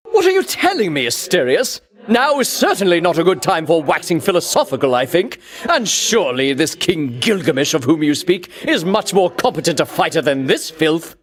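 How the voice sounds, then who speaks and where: An actor playing a pompous, vainglorious ancient Greek champion in a video game, speaking in haughty, grandiloquent, archaic phrases with theatrical bombast. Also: male voice, sits high. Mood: exasperated, disdainful. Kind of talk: theatrical video game villain-rival dialogue.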